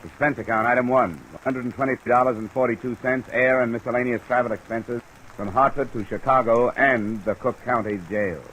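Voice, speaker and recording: An actor playing a wry, wisecracking American insurance investigator in a 1950s radio detective drama. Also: male voice, medium pitch, a little fast, fairly bright tone, close to an everyday voice. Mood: matter-of-fact narration. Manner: dry, wisecracking. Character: wry, brisk male insurance investigator narrating his case. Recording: noisy old radio transcription.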